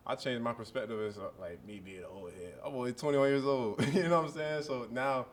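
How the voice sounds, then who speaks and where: A man in his early twenties, a college football player, answering press questions in a relaxed, rambling way full of fillers. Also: mid-range voice, brisk, slight background noise. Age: early 20s.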